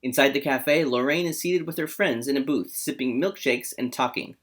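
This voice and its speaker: A man's voice: mid-range voice, moderate pace, a bit bright. Male narrator reading a screenplay. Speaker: host and narrator. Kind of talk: screenplay narration in a comedy table read.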